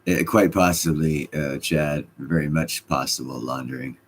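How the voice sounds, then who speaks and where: A man's voice: very deep voice, moderate pace, breathy edge. A man with a slight Scottish accent hosting a casual livestream, reading chat comments and joking with loose, profane banter.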